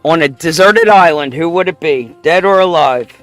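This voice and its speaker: A man hosting a show, running a playful quick-fire question game with a guest, joking and laughing often. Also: on the high side, fairly bright tone, dry recording, soft background music. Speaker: show host.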